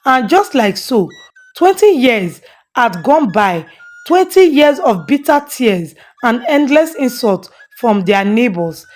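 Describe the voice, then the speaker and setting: A woman's voice: fairly high pitch, sing-song, slow, a little mellow. A woman storyteller giving a scripted narration of a folktale. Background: faint music.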